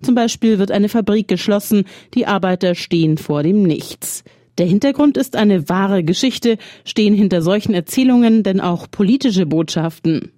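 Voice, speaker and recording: A woman hosting a radio literature show, reading her script in a calm, measured way. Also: mid-range voice, dry recording, studio quality. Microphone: studio microphone.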